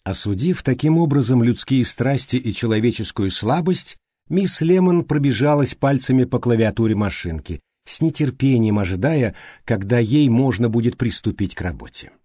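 A man's voice: medium pitch, lively intonation, moderate pace, dark tone, slightly rough, dry recording, studio quality. A man reading literary narration for an audiobook.

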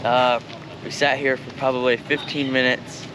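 A man's voice: mid-range voice, level tone, normal speed. A man talking casually and offhandedly while fishing outdoors on a pier. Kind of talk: casual chat. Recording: loud wind noise on action cam.

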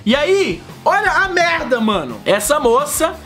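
Vlogger man in his thirties with a head cold, high, swinging voice, profane rant to camera, faint music under it. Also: unhurried. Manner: animated, profane, slangy, big hand gestures. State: sick with a cold, coughing. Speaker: vlogger host. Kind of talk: casual ranting vlog. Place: home room with shelves of collectibles. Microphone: fixed camera, close framing.

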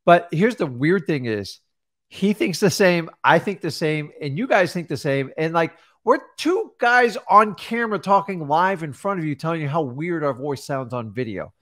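A middle-aged man hosting a studio podcast, coaching viewers in a forceful, direct way. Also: on the high side, lively intonation, moderate pace, no echo. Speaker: host. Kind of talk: casual podcast coaching talk. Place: studio with backdrop. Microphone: studio mic on boom arm.

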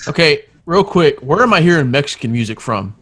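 A man's voice: fairly high pitch, very animated, fairly quick, fairly bright tone. A man on a headset mic making jokey asides during film commentary. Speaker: podcast host.

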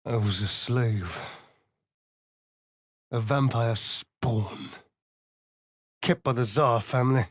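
An actor with an English accent playing a sardonic, flamboyant vampire spawn rogue in a video game, theatrical and arch in manner. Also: male voice, medium pitch, very slow, pauses often, no room sound, clean studio sound. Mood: bitter. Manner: theatrical, arch, drawling with sighs. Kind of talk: video game character acting.

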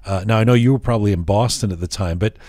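A man hosting an interview, asking long questions in a halting way with many restarts. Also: fairly low pitch, mellow, no echo, studio quality. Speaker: podcast host, interviewer.

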